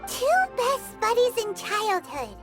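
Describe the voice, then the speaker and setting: An actress voicing a tiny, chirpy, childlike fairy companion in an anime game, squeaky and exclamatory. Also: female voice, big pitch swings, slow, music in the background, exaggerated voice. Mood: amazed. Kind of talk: acted anime game dialogue.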